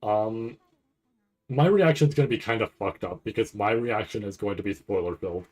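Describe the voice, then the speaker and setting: Low-voiced man rambling through live reaction commentary. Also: moderate pace, darkish tone. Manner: rambling, thinking aloud, profane. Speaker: streamer reacting to an anime trailer.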